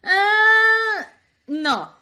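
Animated woman speaking non-native English. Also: very high-pitched, very slow. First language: Spanish. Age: 30s. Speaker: podcast co-host. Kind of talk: casual chat.

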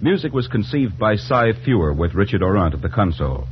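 A man's voice: on the low side, sing-song, fairly quick, highs cut off. A man working as a radio announcer, formal and polished in a scripted 1940s style, on an old broadcast recording. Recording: band-limited, noisy 1940s radio recording.

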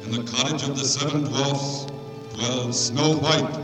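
A magic mirror's spirit, voiced by a man in a vintage radio play. He intones solemnly and slowly. The voice is distant, swimming in long reverb, with music behind. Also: medium pitch, steady tone, natural voice. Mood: solemn intoning. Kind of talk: 1940s radio acting.

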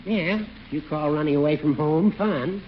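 An actor playing a dry, needling old judge in a 1940s radio comedy. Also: male voice, on the high side, a little slow, close to an everyday voice. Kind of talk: 1940s radio sitcom acting. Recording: dull, noisy 1940s radio recording.

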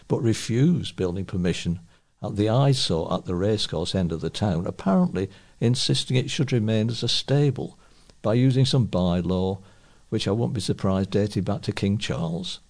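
Male volunteer news reader, fairly deep voice with noticeable fry, reading scripted local press items. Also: expressive, moderate pace, a little mellow.

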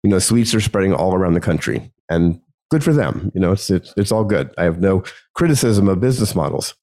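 A man hosting his own podcast alone, talking through a topic in a relaxed conversational way with many ums and restarts, his voice gravelly from a cold. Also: low voice, a little fast. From United States. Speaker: podcast host. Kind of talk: solo podcast monologue.

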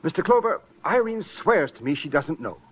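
An actor playing an earnest, self-important rich fiancé, polite and precise, in a 1940s-style radio drama. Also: male voice, a little high, very animated, moderate pace. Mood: anxious, earnest. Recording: dull, faintly noisy old radio recording.

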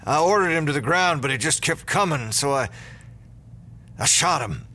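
A man's voice: a little high, animated, moderate pace, bright, forceful tone. A man acting a serious, take-charge small-town sheriff in an audio drama, speaking earnestly. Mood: shaken.

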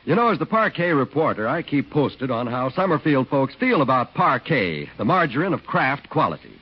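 A man's voice: medium pitch, very animated, normal speed, breathy edge. A man as a 1940s radio announcer, delivering scripted sponsor copy with a polished salesman's delivery. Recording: dull vintage 1940s radio recording.

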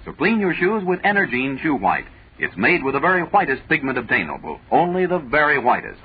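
An American man announcing a vintage radio commercial. His voice is very husky and lively in pitch, with a promotional delivery. The recording is narrow and muffled. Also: a little fast. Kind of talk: 1940s scripted radio advertising.

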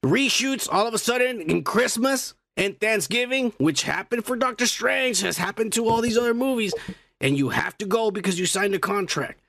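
A raspy-voiced man with a Mexican-American accent, co-hosting a podcast and joking loudly and crudely, with Spanish as his first language. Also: high-pitched, lively intonation, moderate pace, dry recording. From United States. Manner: loud, profane, jokey, laughs often. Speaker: podcast co-host. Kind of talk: crude casual banter. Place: home, indoors.

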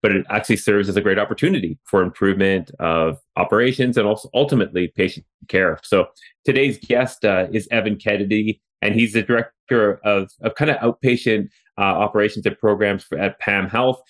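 Brief: Canadian male podcast host, very low voice, chatty, lots of "you know". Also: a little fast. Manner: chatty, loose, many fillers and restarts. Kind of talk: conversational interview. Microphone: remote call.

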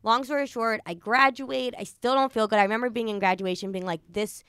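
Young American woman, bright forceful voice, fairly high, casual rambling storyteller, animated, dry studio sound. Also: fast talker, smooth, no room sound. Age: young adult. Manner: animated, rambling, acts out quoted dialogue. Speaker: podcast host. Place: podcast studio.

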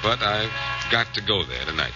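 An actor playing a suave, wisecracking detective hero with wry, teasing banter and an American accent, in 1940s radio drama style. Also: male voice, lowish voice, sing-song, normal speed, music in the background. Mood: smooth, teasing. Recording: muffled, noisy old radio recording.

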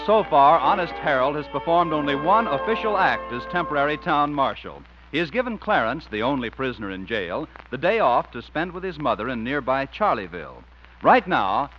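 A man's voice: on the high side, very animated, fairly quick. A man announcing and narrating in a polished, emphatic 1950s radio style. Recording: muffled vintage radio broadcast, faint music.

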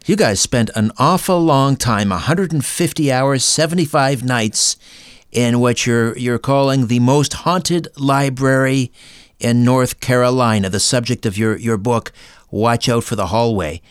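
A man's voice: mid-range voice, a little slow, smooth. A man hosting a show, reading scripted introductions and questions in a presenter's style. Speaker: podcast and radio host. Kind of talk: scripted host narration, ad reading and interviewing.

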